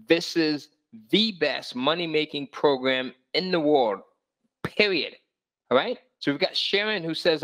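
A man's voice: fairly high pitch, a bit bright. A man hosting a live sales webinar, pushing viewers to join his course and reading out their comments, often tagging on "all right?". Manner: pushy, upbeat, reads out viewer comments. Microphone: voice-over on screen-share slides.

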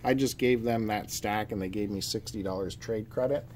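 A man's voice: on the low side, moderate pace, a little mellow. Man chatting casually over noticeable background noise. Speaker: podcast co-host showing collection pickups. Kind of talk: casual chat, showing off purchases. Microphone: handheld phone camera mic. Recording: noticeable background noise, amateur phone video.

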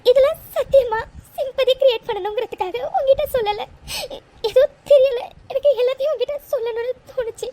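A woman's voice: very high-pitched, a little mellow. A woman playing a tearful young orphan woman. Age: young adult. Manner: tearful, halting, repeats words. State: crying. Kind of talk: romantic drama acting.

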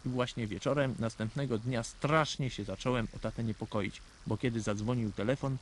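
Man playing a naive schoolboy storyteller, medium pitch. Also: fairly even intonation, fairly quick, slight background noise, natural voice. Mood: earnest. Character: little boy narrating his childhood mishaps, naive and earnest. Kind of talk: scripted first-person storytelling in a radio play.

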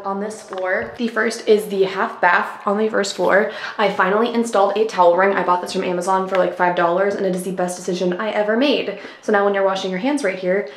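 A woman's voice: medium pitch, slight background noise. A young woman in her twenties, chatting casually and asking her viewers questions while narrating a clean-with-me video at home. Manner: chatty, upbeat, asks viewers many questions. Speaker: lifestyle vlogger. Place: home, kitchen and bathroom. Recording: narration over loud background music.

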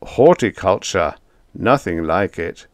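A man voicing a secretive, nervous male vampire lodger who talks in a loud, clipped, guarded way. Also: low voice, expressive, slow pace, no echo.

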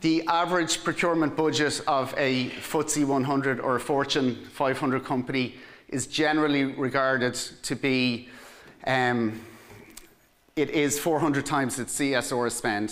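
Irish guy in his 40s on stage at a conference, mid pitch, chatty, lots of "look" and restarts. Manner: animated, gesturing, keeps saying "look" and restarting words. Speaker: conference speaker. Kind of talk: closing a conference talk. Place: stage of a large conference hall.

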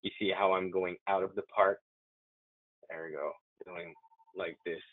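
A man walking through a live software demo, enthusiastic and chatty, often restarting his sentences. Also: low voice, fairly even intonation, a little slow, dry recording. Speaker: software demonstrator. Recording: tinny phone-like, dry audio.

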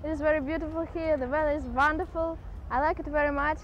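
A woman's voice: high voice, fairly even intonation, quick pace, highs cut off, a little hiss or hum. A young woman from Russia giving a cheerful testimonial outdoors by a river. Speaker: student. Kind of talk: short testimonial to camera.

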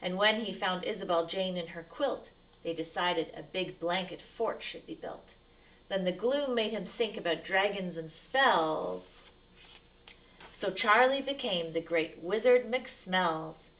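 A woman's voice: fairly low pitch, unhurried, fairly bright tone, distant mic, low-quality recording. A woman reading a rhyming picture book aloud to children as a storyteller, with dramatic, emphatic delivery.

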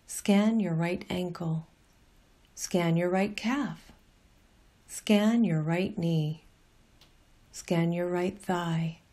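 A woman reading a scripted guided meditation as an instructor, in a gentle, calm and unhurried manner. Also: on the low side.

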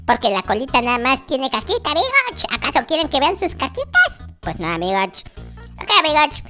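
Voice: high-pitched, huge pitch swings, moderate pace, pushed and bright, soft background music, heavily acted. A performer voicing a whiny, naive baby boy in a Minecraft roleplay, with childish wording, exclamations. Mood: silly, chatty. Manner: childish diminutives, exclaiming, addresses viewers constantly. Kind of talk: in-character Minecraft roleplay commentary.

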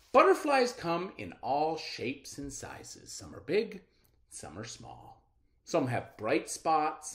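A middle-aged man reading aloud and explaining simply to children as a schoolteacher, with deliberate enunciation. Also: fairly low pitch. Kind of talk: children's read-aloud lesson.

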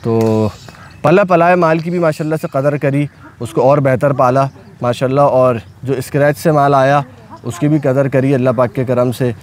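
A man's voice: mid-range voice, steady tone, normal speed, darkish tone. A young man with a Pakistani Urdu accent, a cattle farm owner chatting casually and enthusiastically from behind the camera outdoors. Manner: enthusiastic, repeats blessings often. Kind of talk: casual vlog narration.

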